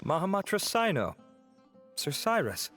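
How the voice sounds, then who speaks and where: Young male game officer, fairly high voice, animated, courteous, formal, music behind. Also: measured pace, heavily acted. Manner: respectful, formal. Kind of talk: video game voice acting.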